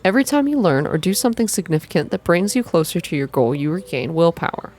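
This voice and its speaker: A young adult reading a visual novel aloud in a soft ASMR style, close to the microphone, with informal asides between passages. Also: medium pitch, very animated, quick pace. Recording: clear background noise.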